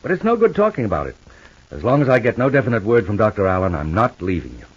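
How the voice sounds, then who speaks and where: An actor playing a protective, stubbornly loving husband in a 1950s radio soap, affectionate, offhand and firm. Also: male voice, lowish voice, big pitch swings, fast talker, husky. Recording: dull, band-limited old radio recording.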